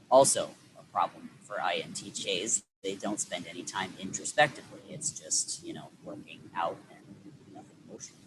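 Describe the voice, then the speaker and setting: A man's voice: low-pitched, background noise. A man speaking as an interview guest, reflecting at length with frequent restarts and fillers. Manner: rambling, many restarts and fillers.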